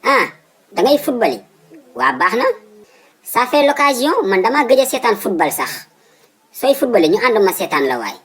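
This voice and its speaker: Casting: stubborn old Senegalese cartoon dad, very high husky voice, melodramatic, repeats himself. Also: male voice, very animated, normal speed, pauses often, fairly bright tone, exaggerated voice. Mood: suspicious. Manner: exclamatory, repeats words emphatically. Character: fussy, pleading, stubborn old father, comic and dramatic. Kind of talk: comic cartoon dubbing.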